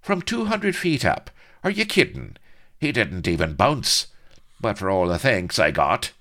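A man's voice: lowish voice, wildly swooping pitch, measured pace, voice pushed up. A man doing a snappish, swaggering rogue colonel. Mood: careless, bitter. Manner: snappish, boastful, offhand. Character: bad-tempered, swaggering male rogue colonel and swordsman.

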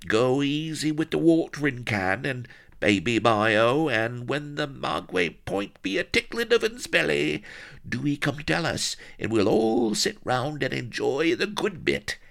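A man's voice: mid-range voice, sing-song, measured pace, gravelly, fairly bright tone, pitched above the performer's own voice, exaggerated voice. A man voicing a crazed old castaway gardener with a West Country accent, rambling and whining in rustic dialect in an audiobook. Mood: agitated, rambling. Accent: West Country English.

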